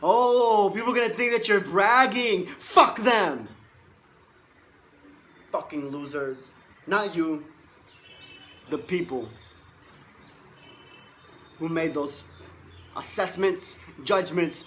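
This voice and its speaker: A man's voice: sits high, slow, pauses often, a bit bright, slight background noise. A man in his twenties, a life coach giving a boastful, emphatic motivational monologue and repeating himself for stress. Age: 20s.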